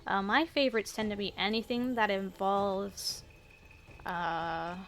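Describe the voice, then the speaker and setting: A woman with a gravelly voice, chatting casually and a little self-deprecatingly while she plays a video game. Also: mid-range voice, a little slow, slight background noise. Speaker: gaming streamer. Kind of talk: casual commentary while playing. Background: game music.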